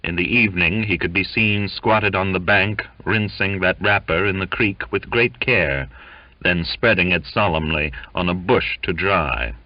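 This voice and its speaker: A man with a deep voice reads literary fiction as an audiobook narrator. His storytelling is measured and expressive. The sound is very dry, with a dull, lo-fi finish. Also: no room sound.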